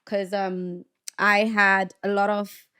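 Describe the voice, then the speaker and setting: A woman in her thirties from Zimbabwe, a guest telling stories with lively energy in a podcast studio. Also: mid-range voice, slow, clean tone. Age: 30s. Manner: lively storytelling, acting out voices. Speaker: guest broadcaster. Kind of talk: casual conversation. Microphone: studio mic.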